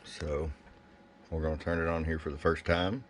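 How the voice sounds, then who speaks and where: A man's voice: very deep voice, little pitch movement, moderate pace. A man giving a casual bench review of gear, plain-spoken and thinking aloud as he goes. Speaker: product reviewer. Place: indoor electronics workbench. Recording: faint background noise.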